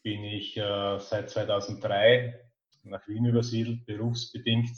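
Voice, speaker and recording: An Austrian man in his fifties speaks as a podcast guest. He keeps to a narrow, even pitch and picks his words with some hesitation. The recording is dull and echoey. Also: unhurried. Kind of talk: casual interview about his life. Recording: dull, echoey remote-call sound.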